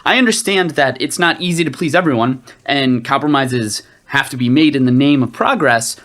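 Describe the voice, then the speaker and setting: A man's voice: medium pitch, normal speed, a bit bright, lightly acted. A man playing an earnest, indignant radio field reporter in a scripted satire, speaking in a prim, formal manner. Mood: earnest indignation.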